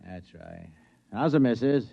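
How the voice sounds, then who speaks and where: A man's voice: mid-range voice, lively intonation, a little slow, vocal fry, warm tone, exaggerated voice. An American man playing a busy security supervisor in an old radio drama, chatty and affable but impatient and condescending. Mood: affable, businesslike. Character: busy, brusque, chuckling male security supervisor. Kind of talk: 1950s radio drama acting. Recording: muffled old radio recording.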